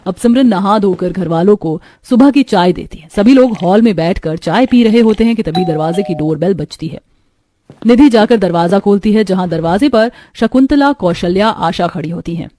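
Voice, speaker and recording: A woman reading scripted storybook narration for an animated cartoon, telling the action in the present tense. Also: mid-range voice, normal speed.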